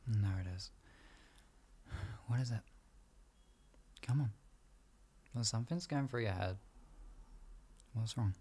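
A man playing a gentle, doting boyfriend in an improvised ASMR roleplay, coaxing softly and reassuringly in an intimate, husky, gravelly voice. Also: on the low side, slow, long pauses, a little hiss or hum. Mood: gentle concern. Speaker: voice actor in audio roleplay.

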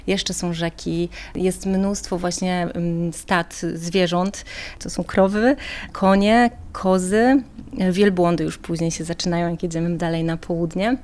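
A woman's voice: on the low side, little pitch movement, measured pace, darkish tone. A woman talking conversationally as a travel guide in a radio interview, chatty and explanatory in long, rambling sentences.

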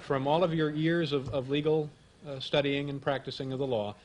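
A man's voice: a little high, steady tone, moderate pace, darkish tone, a little hiss or hum. A man in his thirties hosting a late-night comedy show, joking in a dry, mock-serious way at his desk in a TV studio. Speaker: talk show host. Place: TV studio stage before an audience. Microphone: desk microphone.

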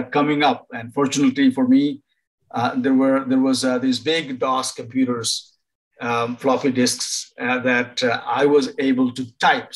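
An older man from Nepal, a professor advising international students over a video call, pausing often to find words. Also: mid-range voice, rough recording. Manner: halting, many fillers and restarts. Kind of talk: informal online presentation.

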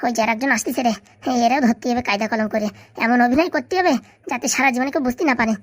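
A woman's voice: a little high, no room sound, lightly acted. A woman voicing a scheming fake beggar wife in a comedy cartoon, with a melodramatic, lamenting manner. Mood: gloating, scheming. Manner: melodramatic wailing, drawn-out lamenting, gloating asides. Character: scheming fake beggar wife, wailing over sick husband.

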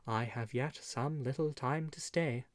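A man voicing a soft-spoken, gentle young stranger in a storybook, languid and courteous with quiet menace. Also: mid-range voice, unhurried, no room sound, lightly acted. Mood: gently mocking. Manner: languid, courteous, archaic speech. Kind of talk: audiobook storybook character.

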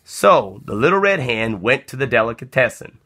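A man reading a children's story aloud as narrator, with expressive, deliberate phrasing. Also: medium pitch. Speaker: storybook reader.

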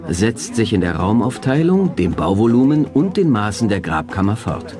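A man's voice: lowish voice, sing-song, moderate pace. A man giving a scripted voice-over for an expert in a documentary. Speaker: German voice-over for an interviewed expert. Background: music behind the voice.

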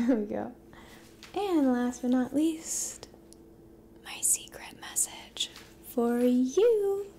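A woman in her twenties doing a soft-spoken ASMR roleplay to the listener, gentle and hushed, drifting into whispers. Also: sits high, expressive, very slow, pauses often, slight background noise. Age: 20s. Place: dim indoor room at a table.

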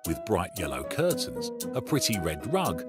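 A man's voice: low voice, wildly swooping pitch, normal speed. A man narrating a scripted fairy tale for children. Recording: music behind the voice.

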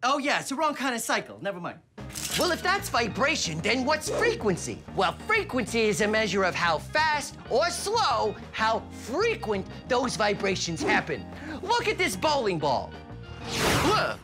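A man's voice: very high-pitched, wildly swooping pitch, unhurried, music in the background, full character voice. A man playing a quirky, nerdy shop salesman, explaining in a comic, theatrical and scatterbrained way for children's TV. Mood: eager, explaining. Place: cluttered shop set, indoors.

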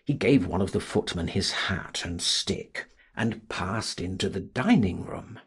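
A man reading a literary audiobook as a dramatic narrator, in a theatrical, expressive and deliberate manner. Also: sits low, breathy edge.

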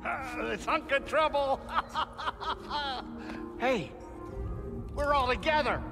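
Game comic relief: excitable little male creature, very high, piercing, in echoing hall. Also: takes it slowly, over music, exaggerated voice. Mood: jovial, teasing. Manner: jovial, exclamatory. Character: excitable, wisecracking little male alien pilot. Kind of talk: cinematic video game acting. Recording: long hall reverb, faint score behind.

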